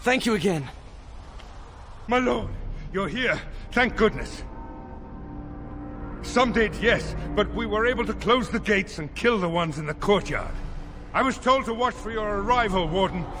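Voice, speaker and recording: A man's voice: high-pitched, lively intonation, measured pace, long pauses, over music. A man playing an anxious, dutiful castle messenger in a fantasy video game cutscene. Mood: shaken.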